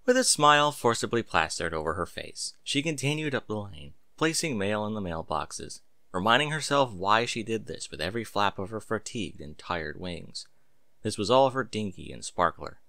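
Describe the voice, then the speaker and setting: A man's voice: fairly low pitch, big pitch swings, moderate pace, sharp tone, no echo, studio quality. A man reading a story aloud as scripted narration, with an emphatic, theatrical delivery. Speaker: audiobook-style story reader. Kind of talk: expressive scripted narration of fan fiction.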